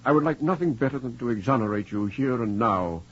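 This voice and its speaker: An actor playing a grave, formal middle-aged college dean in a 1940s radio drama. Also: male voice, medium pitch, expressive, natural voice. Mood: grave, regretful. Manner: formal, measured, stern. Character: grave, formal male college dean, regretful but firm. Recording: muffled, hissy 1940s radio recording.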